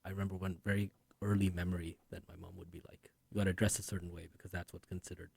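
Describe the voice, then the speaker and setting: A man in his thirties from India, a podcast guest telling his story in a relaxed way, often tagging lines with "right?". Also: low-pitched, fast, vocal fry, husky, no room sound. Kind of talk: conversational interview.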